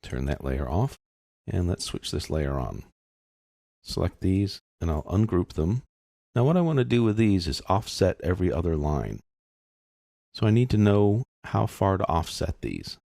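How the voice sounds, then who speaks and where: A man narrating a step-by-step software tutorial in an informal, explanatory way, thinking aloud as he works. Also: sits low, big pitch swings, measured pace, gravelly, no echo, clean studio sound.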